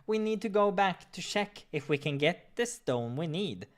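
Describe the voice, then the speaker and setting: Cheeky, higher-pitched cat adventurer played by a narrator. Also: lively intonation, normal speed, close to an everyday voice. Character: sassy, eager, talkative male cat adventurer in fantasy game.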